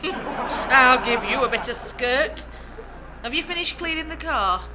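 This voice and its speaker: A woman playing a brisk, teasing, bossy household cook in a 1940s comic radio play. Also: high voice, lively intonation, over a noisy crowd. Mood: mock-scolding. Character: brisk, saucy female household cook in wartime comedy. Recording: crackly noisy old radio broadcast.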